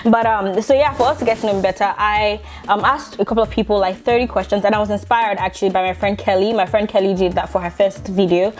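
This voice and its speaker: A woman's voice: medium pitch, fairly even intonation, quick pace, few pauses. Chatty Nigerian woman vlogging from her bedroom. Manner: chatty, laughs often, many restarts. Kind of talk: casual chat to camera.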